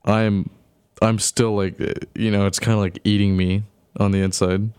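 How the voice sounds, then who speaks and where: A man's voice: fairly low pitch, flat delivery, normal speed, a little mellow, clean tone, no room sound. A man in his early twenties chatting casually with a friend, talking hesitantly with many restarts. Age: 20s. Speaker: friend in conversation. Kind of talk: casual chat.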